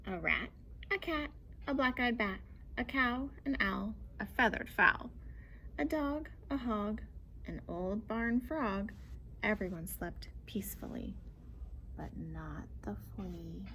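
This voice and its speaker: A woman reading a picture book aloud to children in a sing-song, dramatic way, often dropping to a hushed near-whisper. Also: lively intonation, slow. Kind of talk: expressive children's story read-aloud. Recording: clear background noise, vehicle-like rumble.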